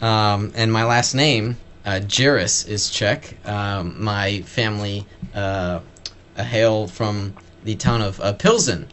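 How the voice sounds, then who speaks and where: A man hosting a podcast interview, asking curious, loose questions in quick bursts, with many fillers. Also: fairly low pitch, fairly even intonation, a bit bright, slight background noise. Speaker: podcast host. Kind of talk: casual interview talk.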